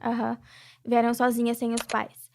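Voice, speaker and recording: A woman's voice: on the high side, completely flat delivery, normal speed, vocal fry, very noisy. A young Brazilian woman, guest and charity president, talking reflectively in a casual interview with many filler tags. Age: young adult.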